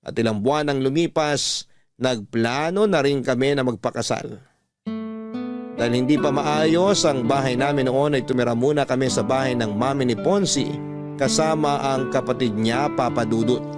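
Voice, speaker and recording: A man's voice: medium pitch, moderate pace, loud music behind the voice. A man narrating a heartfelt letter in the first person as a radio storyteller.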